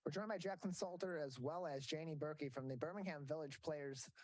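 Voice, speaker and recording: A man's voice: a little high, brisk, no room sound. A man hosting a local TV interview who stumbles over fillers and repeats words as he restarts. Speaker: host.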